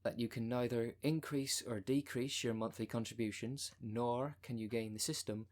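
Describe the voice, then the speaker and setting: A man's voice: medium pitch, fairly even intonation, normal speed, a little mellow, close to an everyday voice. A man voicing a scheming female cult recruiter in an audio roleplay, speaking with formal, businesslike politeness and dry condescension. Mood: polite, businesslike.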